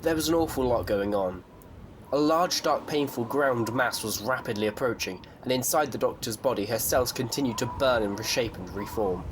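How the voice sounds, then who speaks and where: A man's voice: mid-range voice, moderate pace, noisy background. A man narrating a scripted story for an audio drama in a wry, playful storytelling manner.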